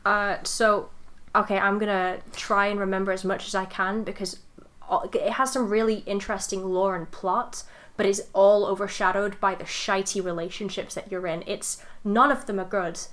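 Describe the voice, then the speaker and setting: A woman's voice: medium pitch, fairly even intonation, normal speed, fairly bright tone. A woman co-hosting a podcast, explaining in casual, rambling storytelling with wry asides. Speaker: podcast co-host.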